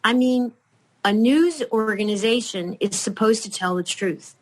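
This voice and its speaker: Older lady guest, slow unhurried talk, lots of ums and restarts. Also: on the high side, rough recording. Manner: rambling, halting, many fillers and restarts. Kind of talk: casual chat.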